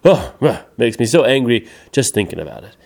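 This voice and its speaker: A man voicing a big, hearty giant in a children's story, chatty and emphatic, friendly but quick-tempered. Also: mid-range voice, sing-song, vocal fry, a little mellow. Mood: grumbling, jovial.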